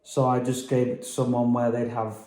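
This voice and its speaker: A man talks loosely through his vlog, trailing off and filling gaps with hums and ums. His voice sits at a middle pitch with a soft, mellow tone. The room around him rings with echo. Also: completely flat delivery, brisk. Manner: rambling, hesitant, many fillers. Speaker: vlogger. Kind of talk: casual chat.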